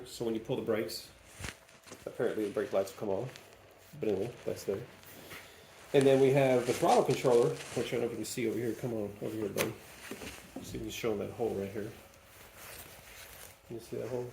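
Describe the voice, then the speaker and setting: A man's voice: mid-range voice, a little hiss or hum, poor audio. Chatty man talking while he works. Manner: chatty, thinks aloud while working; playful repetition of words. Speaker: DIY video host. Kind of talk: casual hands-on repair walkthrough. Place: workshop or garage, cold day.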